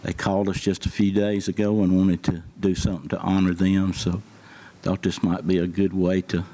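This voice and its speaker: A man's voice: deep voice, little pitch movement, moderate pace, mellow. A man with a Southern accent giving plain-spoken remarks at a county meeting as an emergency services official. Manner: plain-spoken, many fillers. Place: county board meeting room.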